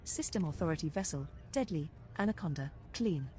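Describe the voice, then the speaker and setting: Spicy spaceship AI copilot for a game: low, relaxed voice, measured readouts with a teasing edge. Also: female voice, soft background music. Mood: businesslike. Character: spicy, flirtatious female spaceship copilot computer. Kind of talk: scripted game voice-pack readouts.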